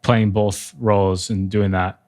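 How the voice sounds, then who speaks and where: A man's voice: on the low side, flat delivery, unhurried, darkish tone. A man hosting a podcast, asking questions in a casual, upbeat, slangy way. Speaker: podcast host. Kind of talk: casual interview.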